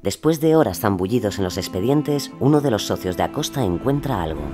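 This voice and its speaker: A man's voice: on the low side, expressive, a little fast. A man delivering scripted documentary narration for an audio series. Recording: loud music under narration.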